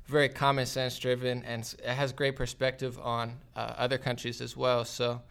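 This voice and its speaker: A man's voice: medium pitch, monotone, moderate pace, creaky, a bit bright, clean tone. A man hosting a podcast, talking in a casual, conversational interview style. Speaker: podcast host.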